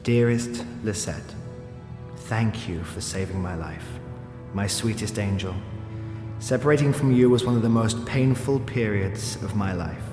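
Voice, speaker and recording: A man's voice: on the low side, close to an everyday voice. Man playing a genteel fatherly mentor, reverberant voice. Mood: tender, regretful. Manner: genteel, measured, affectionate. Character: tender, regretful, worldly older male mentor, father-like. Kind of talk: audio drama letter read as voice-over. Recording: echoey long reverb, music underneath.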